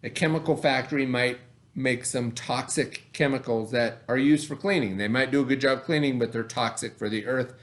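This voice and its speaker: A man's voice: medium pitch, normal speed. A man teaching young children in an instructive way, explaining words step by step and checking in as he goes. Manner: instructive, spells and sounds out words, checks with "right?". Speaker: elementary school teacher. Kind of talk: read-aloud lesson for young children, explaining vocabulary.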